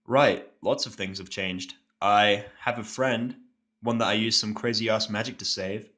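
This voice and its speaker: A man's voice: lowish voice, steady tone, unhurried, pushed and bright. A man playing an irritable, sarcastic injured patient, blunt and cynical, in a first-person audio drama. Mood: wry.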